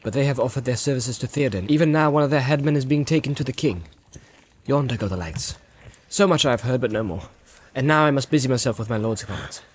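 A man voicing a stern, weary male marshal of riders who speaks in a grave, hushed, old-fashioned way in a storybook reading. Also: mid-range voice, animated, quick pace, husky.

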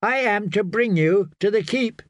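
A man's voice: sits high, lively intonation, a little slow, dry recording, studio quality, pitched above the performer's own voice. A man voicing a deferential sixteen-year-old noble messenger in a fantasy audiobook, polite and earnest. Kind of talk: dramatic fantasy audiobook character voice.

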